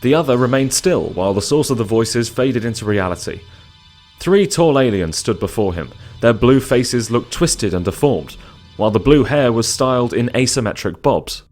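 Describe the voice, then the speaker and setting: A man's voice: mid-range voice, animated, moderate pace, darkish tone, soft background music. A man narrating a scripted audio drama in a dramatic storytelling style.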